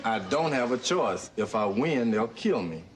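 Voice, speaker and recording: Easygoing boxer-type amateur actor for sitcom, played by a man, average pitch, heavy background noise. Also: expressive, a little slow, close to an everyday voice. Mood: plain, earnest. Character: easygoing amateur actor playing a boxing champ. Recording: very noisy stage recording.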